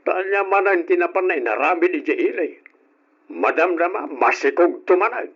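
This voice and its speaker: A man's voice: high-pitched, animated, moderate pace, husky, heavily acted. An actor playing a stern, disappointed father who reproaches his daughter in an old radio drama. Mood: bitter. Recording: dull old radio recording.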